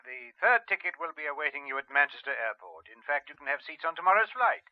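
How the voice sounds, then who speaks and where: A man playing a smooth, persuasive government agent in an audio drama, speaking formally through a telephone filter. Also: on the high side, very animated, fast, no room sound, lo-fi sound. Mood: smoothly persuasive.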